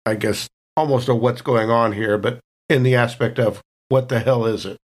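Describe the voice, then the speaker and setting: A man's voice: mid-range voice, steady tone, normal speed. A man chatting casually as a tabletop game player, thinking aloud in a hesitant, wry, conversational way.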